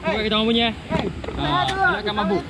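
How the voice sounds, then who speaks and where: A man's voice: extremely high pitch, animated, brisk, over a noisy crowd. A man with a Malaysian accent in a casual outdoor vlog, bantering and calling out directions to his friends. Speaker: vlogger filming a camping trip with friends. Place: outdoors in a forest by a stream. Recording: noisy outdoor action-camera sound, vehicle noise.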